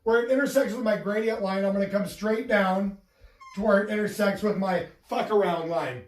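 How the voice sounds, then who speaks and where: Casting: deadpan male instructor, notably high voice, methodical and profane, heard from off-mic in a roomy space. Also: moderate pace. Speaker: presenter of a mock lesson. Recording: roomy, distant-mic video playback.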